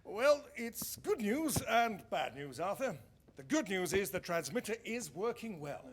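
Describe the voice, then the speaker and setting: Polite high-voiced 1920s radio engineer man. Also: very animated, breathy edge, full character voice. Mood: wry. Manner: polite, measured, explanatory. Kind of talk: period radio drama acting.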